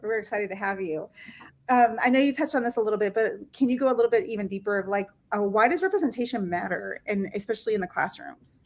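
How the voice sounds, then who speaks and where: A woman's voice: mid-range voice, quick pace. A woman hosting a live interview, upbeat and enthusiastic as she asks her questions.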